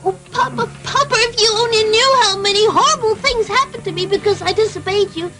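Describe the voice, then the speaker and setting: A woman's voice: extremely high pitch, fairly quick, music in the background. A woman voicing an eager, anxious little wooden puppet boy in a cartoon dub, exclamatory and earnest. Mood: joyful, remorseful.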